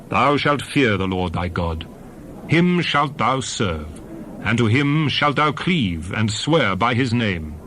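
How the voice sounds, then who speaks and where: A man playing a solemn prophet who exhorts his people in a dramatized scripture reading, speaking in measured, emphatic, oratorical phrases. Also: medium pitch, fairly bright tone, a little hiss or hum.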